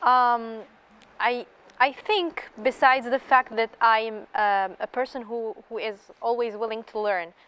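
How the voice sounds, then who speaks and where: A woman's voice: fairly high pitch, fairly even intonation, a little slow, a bit bright, smooth. A woman from Algeria giving thoughtful answers as a guest in a studio interview. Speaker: panel guest.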